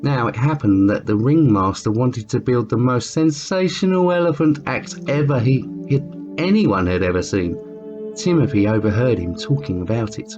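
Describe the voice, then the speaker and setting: Expressive man reading aloud, muffled with music. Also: medium pitch, normal speed. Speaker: amateur storyteller reading a children's book aloud. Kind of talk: expressive read-aloud storytelling. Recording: muffled lo-fi sound with music behind.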